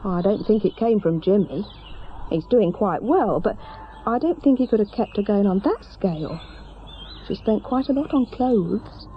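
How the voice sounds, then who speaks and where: A woman's voice: medium pitch, lively intonation, moderate pace, dull audio, a little hiss or hum, natural voice. An actress playing a chatty, sympathetic British woman shopkeeper, speaking in a confiding, gossipy way. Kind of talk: naturalistic radio drama acting.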